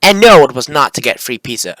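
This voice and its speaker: Young male video creator, forceful bright tone, dry close sound, casual rambling delivery with self-corrections. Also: mid-range voice, big pitch swings, brisk, no echo. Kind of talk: casual screen-recording commentary, reading script aloud.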